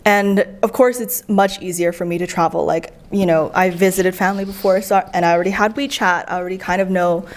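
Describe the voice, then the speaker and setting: A woman from the United States, a guest in a casual conversation, chatty and animated as she tells her experiences. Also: fairly low pitch, brisk, hardly any pauses. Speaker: guest, traveler.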